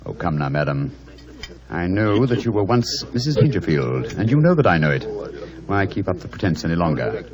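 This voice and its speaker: Shrewd British detective for vintage radio drama: quick, richly varied delivery, formal and wry, on a noisy old recording. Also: male voice, low-pitched, big pitch swings, crowd noise. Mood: probing. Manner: grandly formal, wry, precisely worded. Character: famous male detective, shrewd, confident, theatrical. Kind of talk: 1940s radio acting.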